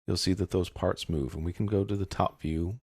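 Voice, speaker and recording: A man narrating a software tutorial, explaining each step in a casual, methodical way. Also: low voice, steady tone, brisk, husky, no echo, studio quality.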